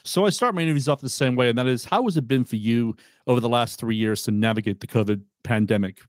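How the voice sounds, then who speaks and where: A man's voice: mid-range voice, fast talker, no room sound, studio quality. A man hosting a podcast, asking his guest brief prepared questions. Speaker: podcast host. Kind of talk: interview questions.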